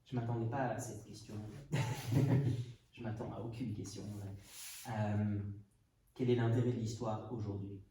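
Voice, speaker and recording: A podcast host from Portugal with a very dark, low voice speaks hesitantly, pausing on fillers as he thinks aloud. He sounds distant from the mic in a very echoey room with faint background noise. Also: fairly even intonation. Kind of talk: reflective podcast conversation.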